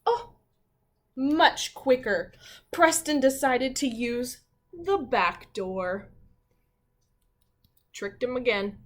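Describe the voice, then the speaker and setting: A woman teacher reading a picture book aloud to children, expressively, with dramatic pauses and her own thoughts along the way. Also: high-pitched, expressive. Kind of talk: children's read-aloud with commentary.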